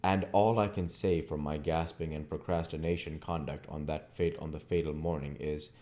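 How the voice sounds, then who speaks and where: A man's voice: a bass voice, steady tone, moderate pace, warm tone. A man reading a classic novel aloud as narrator, now and then stumbling over words and correcting himself. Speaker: audiobook reader.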